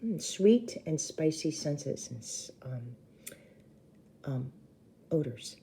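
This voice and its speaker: Female read-aloud storyteller, teacherly and emphatic, very warm dark timbre, on the lower side. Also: very animated, slow. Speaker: teacher reading a novel aloud to children. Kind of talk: expressive storybook read-aloud with brief explanations.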